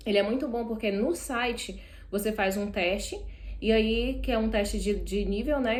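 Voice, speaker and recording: A woman's voice: on the high side, reverberant. A young Brazilian woman with a Brazilian Portuguese accent, chatting casually to camera while seated indoors and often correcting herself mid-sentence. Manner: chatty, self-correcting, animated asides. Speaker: vlogger.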